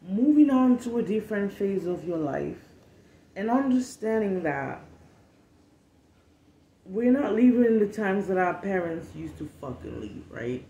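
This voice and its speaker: A woman's voice: medium pitch, animated, unhurried, warm tone, reverberant, highs cut off. A woman in her late twenties from Cameroon, English not her first language, talking candidly and casually on her podcast, swearing freely, seated at home and filmed on a phone. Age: 20s. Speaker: podcast host. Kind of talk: casual monologue. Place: home room, seated at a table.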